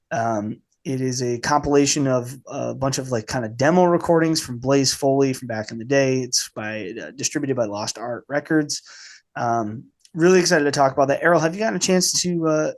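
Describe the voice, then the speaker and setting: A man hosting a casual film podcast, speaking informally and haltingly with a slight cold in his voice. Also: medium pitch. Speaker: podcast host.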